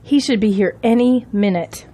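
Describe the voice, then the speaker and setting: An actress playing a loyal, assertive political campaign aide in an audio drama. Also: female voice, fairly high pitch, moderate pace, dark tone, close to an everyday voice. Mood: businesslike.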